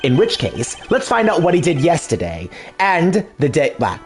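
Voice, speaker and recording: A man voicing a composed, formal male prosecutor who questions a suspect in precise, measured phrases. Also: on the high side, wildly swooping pitch, soft background music, voice pushed down. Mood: probing. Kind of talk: fan dub of a video game.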